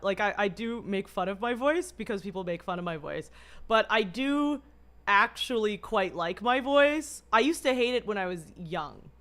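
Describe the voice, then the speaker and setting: A young woman streamer with a low voice, talking casually and rambling through asides while reacting to a video. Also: a bit bright. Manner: rambling, self-deprecating, laughs through asides.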